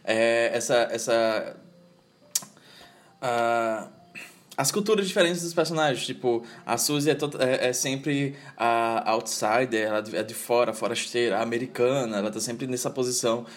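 A man's voice: mid-range voice, moderate pace. A Brazilian man co-hosting a podcast, chatting casually about films with many hesitations and filler words. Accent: Brazilian Portuguese. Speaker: podcast co-host. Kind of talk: casual film chat.

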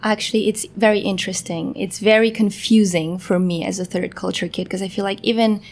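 A woman's voice: mid-range voice, steady tone, a little fast, darkish tone. A young woman guest raised in Poland, talking candidly in a casual interview with many fillers. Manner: candid, filler-heavy, many "like" and "you know".

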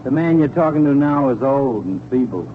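A man's voice: medium pitch, expressive, moderate pace, close to an everyday voice. A man acting a harried, whiny middle-aged father in a 1940s radio comedy, on a tinny, hissy old recording. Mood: complaining. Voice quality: whiny, put-upon. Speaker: radio actor.

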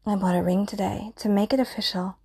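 A woman's voice: mid-range voice, fairly even intonation, quick pace, gravelly, a little mellow, breathy edge. A woman voicing an obsessive, possessive stalker who kills for love, speaking intimately and often in a menacing whisper in an audio roleplay.

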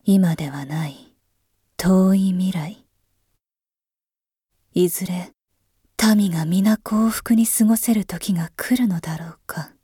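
A woman voicing a world-tired figurehead emperor who speaks in archaic, imperious phrasing, musing slowly and half-whispering in a voice drama. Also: on the low side, warm tone, husky, no room sound, studio quality, heavily acted. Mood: weary. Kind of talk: voice drama monologue.

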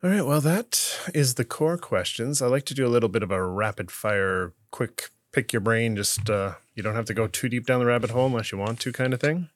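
A man hosting a podcast, asking questions in a relaxed, conversational way. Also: medium pitch, brisk, hardly any pauses, no echo, studio quality. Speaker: podcast host. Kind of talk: casual interview hosting.

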